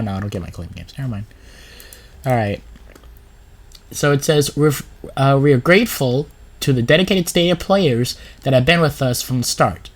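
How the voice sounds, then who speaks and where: Sick man rambling through gaming commentary. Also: medium pitch. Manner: rambling, with filler words, laughs and restarts. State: sick with a sore throat and runny nose. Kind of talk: casual screen-share commentary, reading a blog post aloud.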